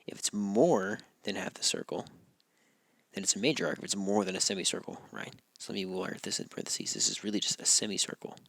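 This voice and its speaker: A man teaching a geometry lesson, losing his voice, talking in a relaxed way and often checking in with "right?". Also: on the low side, brisk, vocal fry, no room sound. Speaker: math teacher. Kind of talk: recorded classroom walkthrough.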